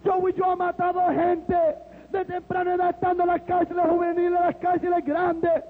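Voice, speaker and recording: A man's voice: very high-pitched, completely flat delivery, pitched above the performer's own voice. A man doing a fervent, towering street preacher. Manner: slow, emphatic, shouting at peaks. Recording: tinny phone-like sound in a reverberant hall.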